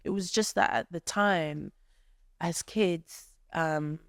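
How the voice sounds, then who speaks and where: A woman's voice: on the low side, slow, creaky, no room sound, clean studio sound. A woman from Nigeria who is an artist, answering a workshop question in a thoughtful way with many fillers. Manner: thoughtful, many fillers, acts out friends' voices.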